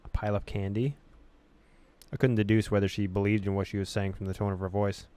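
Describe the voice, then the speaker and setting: A man's voice: on the low side, little pitch movement, quick pace, warm tone, clear, resonant tone. A man hosting a gaming video, reading on-screen story text aloud in a dramatic way with casual reactions.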